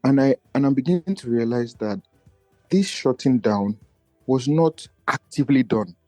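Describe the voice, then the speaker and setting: A man's voice: expressive, normal speed, darkish tone, no echo, faint music behind. A man, a podcast guest talking in an earnest, searching way and often restarting his thoughts. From Nigeria. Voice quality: low voice he feels does not carry. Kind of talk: reflective personal conversation.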